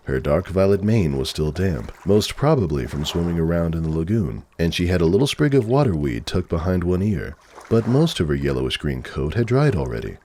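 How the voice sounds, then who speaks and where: A man with a low voice narrates a story in a dramatic reading style. His delivery rises and falls in a lively way. There is faint noise behind him.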